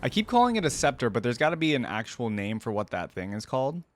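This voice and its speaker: A man's voice: medium pitch, sing-song, fast talker, no echo. A man giving casual reaction commentary as host, musing aloud in an informal way. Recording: game music playing behind.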